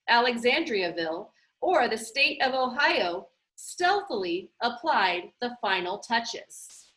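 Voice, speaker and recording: A woman reading a children's novel aloud as scripted narration, with deliberate, expressive phrasing. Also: high voice, low-quality recording.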